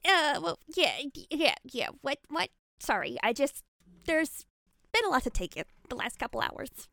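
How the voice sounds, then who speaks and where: A woman's voice: high voice, big pitch swings, normal speed, vocal fry, sharp tone, no echo, clean studio sound, full character voice. A woman playing a cagey male bowling instructor who stammers and dodges questions. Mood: flustered. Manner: hesitant, stammering, evasive.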